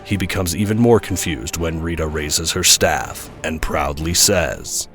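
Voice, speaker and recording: A man's voice: extremely low pitch, a little slow, fairly bright tone. A man narrating an audio drama in a scripted storytelling style, with dramatic music behind him.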